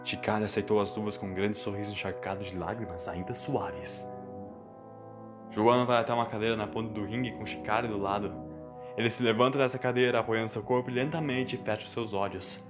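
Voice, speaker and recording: A man's voice: on the low side, fairly even intonation, a little fast. A man with a Brazilian accent, narrating a scripted story in a dramatic style. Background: music.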